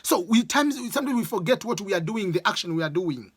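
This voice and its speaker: Husky East African man giving opinions. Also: high-pitched, expressive, fast, no room sound, clean studio sound. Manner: emphatic, repeats phrases for stress. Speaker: speaker giving his opinion. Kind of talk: informal opinion monologue.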